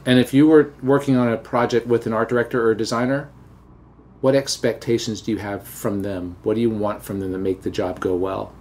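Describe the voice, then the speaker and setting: A middle-aged man with a Southern American accent, a teacher hosting a relaxed, chatty interview and telling anecdotes. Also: on the low side, fairly quick. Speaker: podcast host and teacher. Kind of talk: casual conversational interview.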